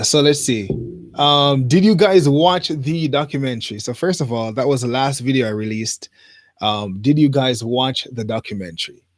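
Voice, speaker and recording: A man from Jamaica hosting a livestream, chatting informally to viewers in a rambling way and pausing as he goes. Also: medium pitch, dry recording. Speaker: livestream host. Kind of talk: casual livestream chat to viewers. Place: studio.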